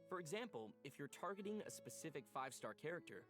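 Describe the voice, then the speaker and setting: Male program presenter, brisk pace, animated pitch, scripted announcements, music behind. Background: music, streamer talking over it.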